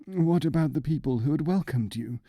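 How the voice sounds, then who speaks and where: A man's voice: a little high, lively intonation, moderate pace, warm tone, no echo, voice pushed up. A man voicing a querulous, impatient old sage in a storybook, ruffled and testy. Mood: irritated.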